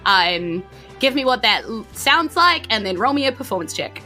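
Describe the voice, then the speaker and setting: A woman's voice: a little high, sing-song, moderate pace, bright, forceful tone, soft background music. A woman, informally narrating a tabletop game as game master. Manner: informal, colloquial.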